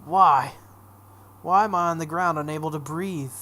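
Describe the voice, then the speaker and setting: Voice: medium pitch, moderate pace, bright, forceful tone. A let's play host reading visual novel text aloud dramatically, breaking off into casual reactions.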